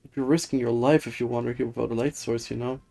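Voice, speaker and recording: A busy fantasy game surveyor, read aloud by a man streaming, grumbly yet helpful. The voice is creaky and matter-of-fact, explaining things over faint game music. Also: medium pitch, steady tone, fast talker, warm tone, natural voice. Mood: polite, explaining. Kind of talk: reading game dialogue aloud in character.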